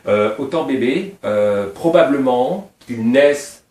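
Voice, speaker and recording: A man's voice: medium pitch, animated, takes it slowly, a little mellow. A middle-aged man from Réunion Island, a snake breeder, talking informally and at length, piling up details as he explains his animals. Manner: talkative, digressive, piles up details. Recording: roomy sound from a distance.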